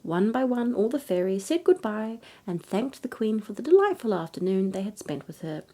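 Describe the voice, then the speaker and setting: A woman gently narrating a fairy tale as a bedtime story reader. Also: mid-range voice, big pitch swings, normal speed.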